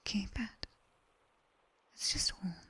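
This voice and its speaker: A British woman playing a sharp-tongued, teasing nightmare demon tutor in an ASMR roleplay, whispering breathily and intimately close to a binaural mic. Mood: soothing, instructive. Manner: slow, intimate, teasing, commanding. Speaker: ASMR performer. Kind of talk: whispered ASMR roleplay, guided body scan. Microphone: close binaural ear microphone.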